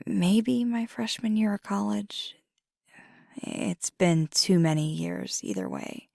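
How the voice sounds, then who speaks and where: A low, gravelly, breathy woman acting as a young werewolf girlfriend in an ASMR roleplay. She speaks softly and unhurriedly, often slipping toward a whisper, earnest and hesitant as she confides. The sound is very dry and close. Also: sing-song, slow pace, dry recording, heavily acted. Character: fiery young adult werewolf girlfriend, earnest, torn, tender. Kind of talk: intimate ASMR roleplay, soft half-whispered close speech.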